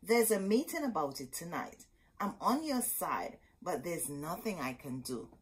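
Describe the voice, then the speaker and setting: A woman voicing a sympathetic, resigned female schoolteacher in a storybook, explaining things gravely. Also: lowish voice, big pitch swings, unhurried, heavily acted. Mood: regretful.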